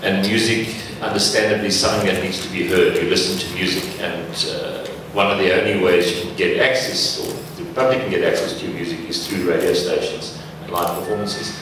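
A man speaking as a panelist, explaining things in a measured, matter-of-fact way. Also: low-pitched. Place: large lecture hall. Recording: echoey hall, distant, noisy.

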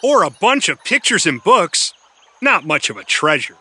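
An actor voicing Ben, a friendly talking dog, in a cartoon with music behind. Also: male voice, high voice, very animated, moderate pace, bright, forceful tone, a little hiss or hum, heavily acted. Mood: playful.

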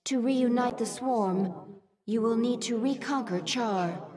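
A poised alien adviser from a video game. Her somewhat high voice briefs formally and evenly, with crowd-like noise behind it. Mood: formal, composed. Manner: measured, formal, informative.

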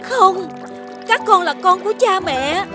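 A woman voicing a desperate, pleading mother toad in an animated fairy tale. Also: very high-pitched, a little slow. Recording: loud music under the voice.

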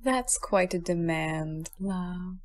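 Slow, coaxing fairy trickster played by a woman. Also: on the low side, no echo. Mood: silky, taunting. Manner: taunting, cajoling endearments. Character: sly, coaxing fairy creature, possessive and condescending. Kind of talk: audio drama acting.

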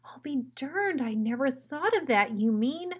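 A woman's voice: sits high, animated, measured pace, slightly rough, pitched above the performer's own voice, exaggerated voice. A woman voicing a small, timid male dog sidekick in a storybook, nervous, hesitant and naive. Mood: goofy, naive.

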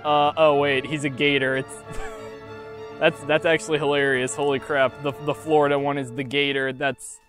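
A man's voice: on the high side, flat delivery, a bit bright, soft background music. Rambling young man with a lapel mic, outdoors. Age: young adult. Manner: rambling, self-mocking, halting with many fillers. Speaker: YouTuber unboxing toys. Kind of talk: jokey deadpan unboxing vlog. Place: outdoors by a field under trees.

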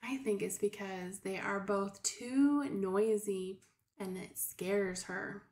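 A middle-aged woman, a preschool teacher, discussing a story with young children through gentle questions. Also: medium pitch, steady tone, slow, echoey room. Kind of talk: read-aloud discussion aimed at young children.

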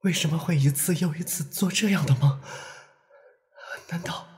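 Man acting a soft-spoken gentle youth. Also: on the high side, normal speed, natural voice. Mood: wistful, troubled. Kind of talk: web radio drama acting.

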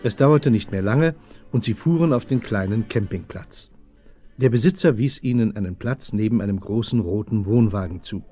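A man's voice: fairly low pitch, normal speed, dark tone, dull audio, faint music behind, close to an everyday voice. A man narrating a children's detective radio play as the storyteller.

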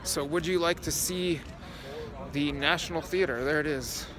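A man's voice: fairly high pitch, moderate pace, crowd noise. A young man chatting to his phone camera held at arm's length as he walks through a city square at dusk, giving a casual tour. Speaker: vlogger. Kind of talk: casual walk-and-talk vlog.

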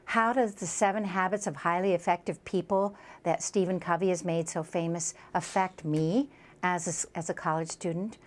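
A woman's voice: fairly low pitch, fairly even intonation, normal speed. A woman speaking as a college instructor, explaining a program in an emphatic, promotional way. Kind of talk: explanatory promotional talk about a class.

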